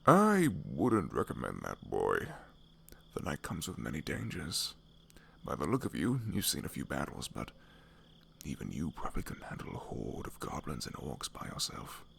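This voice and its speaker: Man doing a low, gruff older band leader. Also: wildly swooping pitch, moderate pace, exaggerated voice. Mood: firm, cautioning. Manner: teasing, self-assured. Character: older, jovial, gruff leader of traveling companions. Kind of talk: fantasy audio roleplay.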